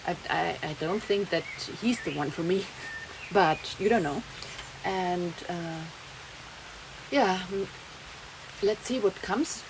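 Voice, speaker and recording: A woman's voice: on the low side, takes it slowly, creaky, long pauses. A young woman with a German accent, rambling in a casual vlog monologue and slipping German words into her English. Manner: rambling, chatty, laughs often, slips German words in. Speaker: vlogger. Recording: noisy background, rain heard.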